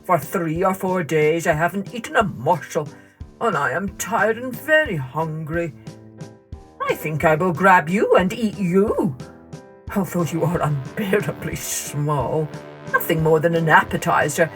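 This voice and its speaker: A woman's voice: fairly low pitch, lively intonation, unhurried, faint music behind. A woman voicing a hungry old male tiger in a children's folktale, blunt, proud and menacing.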